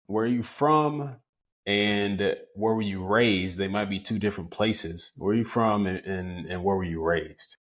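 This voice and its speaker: American male podcast host, low voice, conversational interviewing style. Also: measured pace. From small town in the United States, outside Los Angeles.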